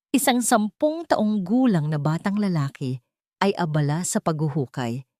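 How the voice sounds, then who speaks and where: A woman's voice: on the low side, darkish tone, no echo, studio quality. A woman narrating a dramatic story, her voice rising with emotion at tense moments.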